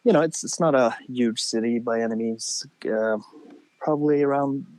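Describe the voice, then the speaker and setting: A man, telling lively stories in a casual chat and acting out his younger self, heard over a phone call. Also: mid-range voice, normal speed. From Sweden. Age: 40s. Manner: animated storyteller, acts out his younger self. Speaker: guest musician.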